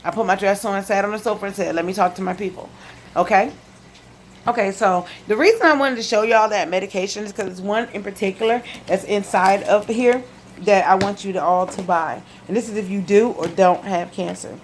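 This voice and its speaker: A woman's voice: mid-range voice, brisk. Chatty woman with a lisp, noisy recording. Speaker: video blogger giving health testimony. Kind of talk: casual direct-to-camera chat. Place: living room at home.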